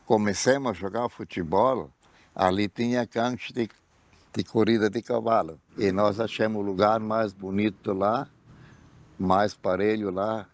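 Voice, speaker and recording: A man's voice: lowish voice, fairly even intonation, a little slow, dry recording. An older man, remembering founding a football club in a rambling, good-humored way. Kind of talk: interview reminiscence.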